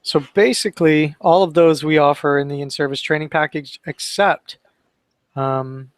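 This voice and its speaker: A man with a somewhat high voice talks through inspection findings in a relaxed, conversational way. He often stops to fill with "um" between items. The sound is dry and close, with no room echo. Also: normal speed. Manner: conversational, reads citations aloud with fillers. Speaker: webinar co-host. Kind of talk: casual training discussion.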